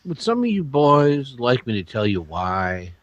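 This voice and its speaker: A man playing a troubled, reflective townsman who narrates a western radio drama in a grave, deliberate way. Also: medium pitch, sing-song, no room sound, lo-fi sound. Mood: grim.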